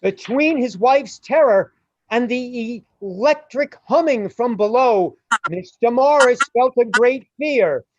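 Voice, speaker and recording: Casting: female radio play narrator, high voice, unhurried phrase-by-phrase reading, muffled recording. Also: animated. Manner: deliberate, broken into short phrases. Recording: dull, dry, lo-fi sound.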